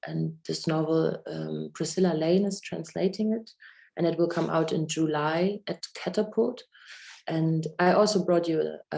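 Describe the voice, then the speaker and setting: A low-pitched woman with a German accent, her tone mellow and soft-edged, who starts out a bit halting before settling into a steady reading of her own prose. She is heard through a video call. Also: creaky. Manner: hesitant, restarts when speaking freely. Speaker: author giving a reading. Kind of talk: reading aloud from a novel and chatting.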